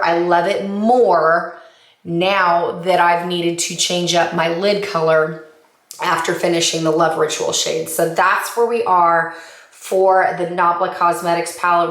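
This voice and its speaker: Slightly raspy woman, lower-pitched, enthusiastic and rambling, casual chat, echoey room. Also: steady tone, a little slow. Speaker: makeup vlogger. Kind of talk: casual chatty update.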